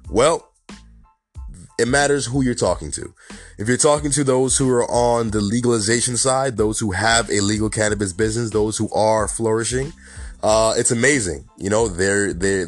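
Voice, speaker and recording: Hoarse New York man hosting a podcast. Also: on the low side. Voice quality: raspy. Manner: chatty, fillers like "you know" and "honestly". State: slight cold, hoarse. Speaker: podcast host. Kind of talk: casual solo podcast commentary.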